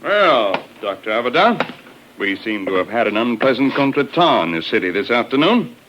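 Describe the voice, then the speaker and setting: A man's voice: mid-range voice, big pitch swings, moderate pace, muffled recording, close to an everyday voice. An actor playing an officious military man in a 1950s science fiction radio drama. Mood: formal, uneasy. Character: officious military man, curt below, formally deferential above. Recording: noisy old 1950s radio recording.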